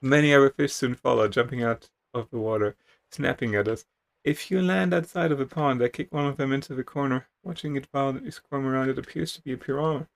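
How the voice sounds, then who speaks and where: A man's voice: medium pitch, quick pace, vocal fry. A man reading a text adventure game aloud in a dramatic storytelling way, now and then tripping over words. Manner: theatrical, stumbles over words while reading.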